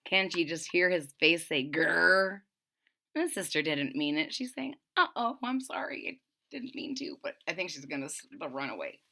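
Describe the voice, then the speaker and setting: A woman reading a picture book aloud to children, expressive and chatty, adding friendly asides between the pages. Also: sits low, normal speed, creaky. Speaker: storybook reader.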